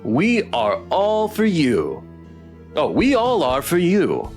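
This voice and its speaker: A man voicing a teasing, theatrical dating-show host in a visual novel, with showy drawn-out emphasis. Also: a little high, wildly swooping pitch, darkish tone, soft background music, heavily acted. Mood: playful teasing. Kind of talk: visual novel character read-aloud.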